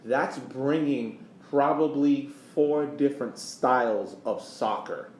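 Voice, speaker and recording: A man's voice: mid-range voice, slow. A man co-hosting a soccer podcast, talking casually and repeating phrases for emphasis. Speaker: podcast host. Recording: roomy echo, faint background noise.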